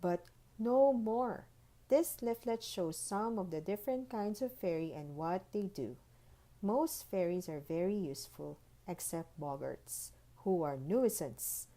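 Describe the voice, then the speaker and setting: A woman voicing a busy, bossy, impatient tooth fairy in a children's storybook, formal and brisk. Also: lowish voice, lively intonation, warm tone. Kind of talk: children's storybook read-aloud.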